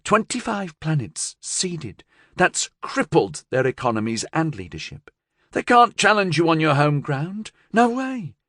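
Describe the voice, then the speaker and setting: A man's voice: a little high, very animated, measured pace, a bit bright, dry recording, studio quality, pitched above the performer's own voice, full character voice. A man voicing a cool, confident gangster's woman in an audiobook, speaking in a firm, assured, coaxing way. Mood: persuasive, assured.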